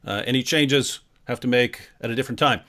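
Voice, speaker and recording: A man hosting a live-streamed talk show, jokey and energetic as he introduces his guest and relays viewer comments. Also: mid-range voice, a little fast. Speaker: host.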